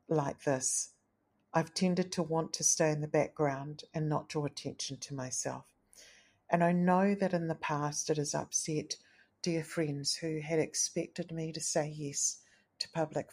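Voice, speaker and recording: A woman hosting a solo podcast, speaking in an earnest, gentle, thoughtful way with a low, tenor-like voice. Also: breathy edge. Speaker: podcast host. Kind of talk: reflective solo podcast talk.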